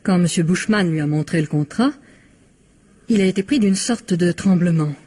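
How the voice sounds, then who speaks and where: An actress playing an anxious, polite secretary in a French radio crime drama, with a husky voice and a pleading, troubled manner. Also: female voice, on the low side, moderate pace, mellow, close to an everyday voice. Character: female secretary, anxious, respectful, troubled witness.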